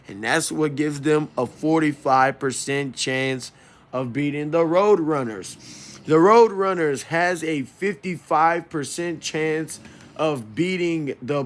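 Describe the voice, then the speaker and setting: Man, sports preview commentary, somewhat high-pitched, unhurried, halting, self-correcting. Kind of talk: informal game preview commentary.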